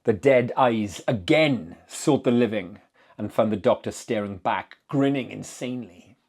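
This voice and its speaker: A man giving a dramatic, deliberate reading of literary horror fiction as narrator. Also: lowish voice, a little mellow.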